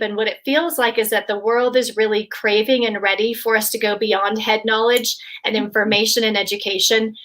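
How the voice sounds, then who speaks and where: Woman host, eager and effusive, slightly high voice, video call audio. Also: low-quality recording. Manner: enthusiastic, warm praise of guests. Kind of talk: casual video call panel.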